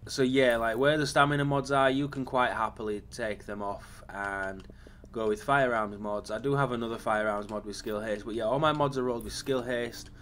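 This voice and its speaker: Slightly raspy man walking through a game build. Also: medium pitch, moderate pace, slight background noise. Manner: relaxed and rambling, with frequent ums and self-corrections. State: slightly raspy from a lingering cough. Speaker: gaming channel host. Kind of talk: casual game build walkthrough.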